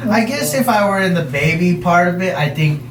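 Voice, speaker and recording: Halting young man in a roomy space. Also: sits high, expressive. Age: 20s. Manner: halting, restarts and trails off. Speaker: podcast host. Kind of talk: casual podcast chat. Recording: roomy, distant mic, noisy.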